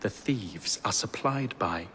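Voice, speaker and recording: An actor with a British accent playing an earnest, measured Victorian police sergeant in a video game. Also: male voice, on the low side, expressive, bright, forceful tone, heavily acted. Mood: earnest, explaining. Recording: faint ambient noise.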